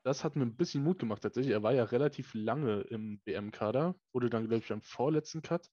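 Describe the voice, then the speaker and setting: A man at a middle pitch adds dry, joking comments to a podcast chat. His sound is dull and dry, like a lo-fi mic. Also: a little fast, dark tone, no room sound. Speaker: podcast co-host. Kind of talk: casual sports podcast chat.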